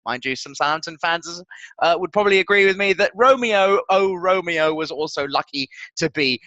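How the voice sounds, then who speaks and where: A man's voice: a little high, moderate pace, pushed and bright, dry recording, lo-fi sound. A man co-hosting a football podcast with casual banter in a teasing, jokey, theatrical way.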